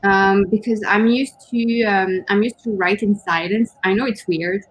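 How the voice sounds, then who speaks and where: A woman whose first language is not English, chatting casually as co-host of a writing stream, often pausing and hunting for words. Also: medium pitch, fairly even intonation, dry recording, poor audio. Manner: hesitant, searching for words, giggly. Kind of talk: casual chat on a writing livestream.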